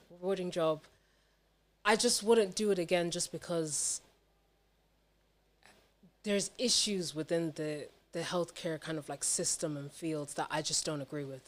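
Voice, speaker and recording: A young woman talking casually and candidly as a podcast guest, emphatic, slangy and sweary. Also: low-pitched. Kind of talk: casual personal chat.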